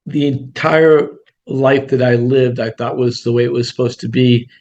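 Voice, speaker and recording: Gravelly older man coaching in a webinar. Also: medium pitch, steady tone, moderate pace, darkish tone, muffled recording. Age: 60s. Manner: blunt, conversational, self-deprecating. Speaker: real estate coach. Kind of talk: webinar presentation.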